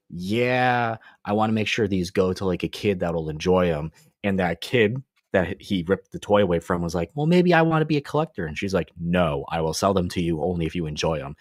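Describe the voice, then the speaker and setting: A man's voice: sits low, no echo, clean studio sound. A man hosting a casual comic book review show, rambling and joking as he recaps issues. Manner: laid-back, rambling, joking. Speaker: comic book podcast host.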